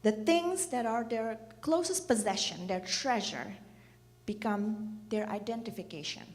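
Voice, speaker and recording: Slow, gentle Indonesian-born woman preaching. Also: fairly high pitch. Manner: slow, gentle, asks the listeners questions. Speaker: children's program director giving a church message. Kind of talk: reflective sermon talk. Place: church hall. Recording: reverberant church hall.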